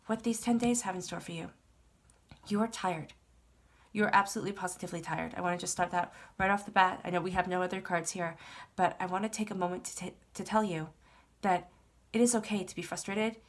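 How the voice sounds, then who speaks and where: A woman reading tarot for her channel, congested with a cold, talking in a soothing, reassuring way and often repeating herself. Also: medium pitch. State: stuffed up from a cold. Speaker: tarot reader. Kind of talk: tarot reading monologue to camera.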